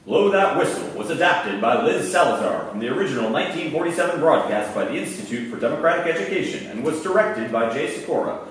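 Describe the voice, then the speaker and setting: A man's voice: medium pitch, big pitch swings, fast, hardly any pauses, recorded from a distance. A man reading closing credits as a scripted radio-show announcer. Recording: echoey stage, loud crowd noise. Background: loud crowd.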